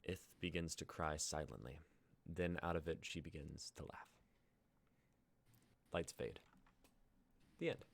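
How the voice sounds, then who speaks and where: A man's voice: a bass voice, a little slow, vocal fry, pauses often. A young man reading stage directions as scripted narration. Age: young adult.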